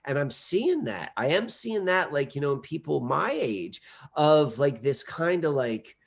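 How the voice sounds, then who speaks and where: A man's voice: fairly high pitch, very animated, unhurried, gravelly. A man in his forties, a podcast guest, talking casually in a rambling way with many fillers and restarts. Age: 40s. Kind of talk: casual conversation.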